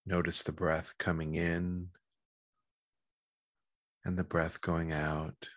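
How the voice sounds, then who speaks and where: A man leading a guided meditation in a calm, gentle, measured manner with long pauses. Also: deep voice, no room sound, clean studio sound.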